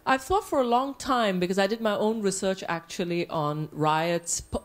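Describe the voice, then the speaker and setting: A woman's voice: mid-range voice, normal speed. A woman in an audience putting an academic question to a panel in a hesitant, wordy way.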